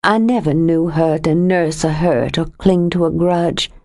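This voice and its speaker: Narrator voicing a steady, fond, blunt woman; low voice, unhurried. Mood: earnest. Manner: calm and firm, gently blunt. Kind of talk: audiobook character reading.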